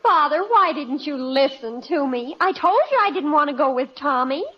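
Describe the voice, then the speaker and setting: Melodramatic American teen girl, plaintive, very high animated voice, dull old radio-comedy recording. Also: normal speed. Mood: aggrieved. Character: dramatic, aggrieved teenage daughter, blaming her parents. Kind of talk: old-time radio sitcom acting. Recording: muffled vintage radio broadcast.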